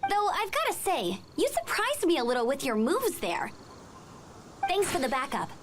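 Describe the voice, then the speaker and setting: A woman's voice: sits high, wildly swooping pitch, normal speed, very noisy. A woman playing an eager, earnest young female knight in a video game, with music behind. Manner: bright, earnest, enthusiastic. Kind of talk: animated game voice acting.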